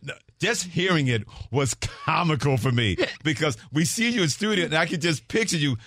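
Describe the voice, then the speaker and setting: A man's voice: on the high side, normal speed, no echo. A man hosting a sports radio show, joking and laughing with his guest in the studio. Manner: playful, laughs often, animated. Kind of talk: casual sports radio banter.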